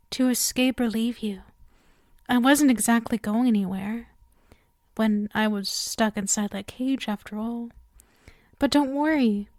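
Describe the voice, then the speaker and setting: A woman voicing a clingy, obsessively devoted female demon in an intimate ASMR roleplay, softly and tenderly, often close to a whisper. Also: a little high, no room sound. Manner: soft, breathy, often dropping to a whisper. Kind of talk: ASMR roleplay, intimate monologue addressed to listener.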